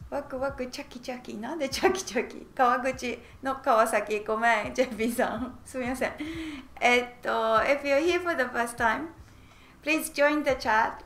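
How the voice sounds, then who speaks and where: A high-pitched woman with a Japanese accent teaches a live online language class in an echoey room. She is a bubbly native Japanese speaker who chats with her viewers as she goes. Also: quick pace, fairly bright tone. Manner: bubbly, giggly, switches between languages. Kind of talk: live-streamed lesson with chat greetings.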